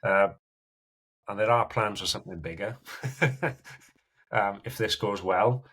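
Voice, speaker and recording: Husky Welsh man, chatty storyteller. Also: lowish voice, unhurried, fairly bright tone. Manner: chatty storyteller, often laughs, many "you know"s and "um"s. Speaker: guest endurance athlete. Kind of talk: casual podcast chat.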